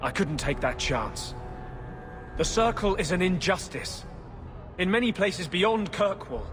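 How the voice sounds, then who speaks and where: An actor playing a grim, resolute rebel mage in a fantasy game, intense and fatalistic. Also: male voice, high-pitched, lively intonation, a little slow, over music. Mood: defiant. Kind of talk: fantasy video game voice acting.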